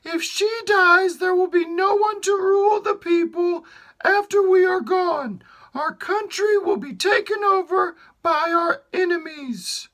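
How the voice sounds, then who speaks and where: A man voicing a desperate queen in a high falsetto, pleading for her daughter. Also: measured pace, a bit bright. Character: anguished queen, a mother pleading.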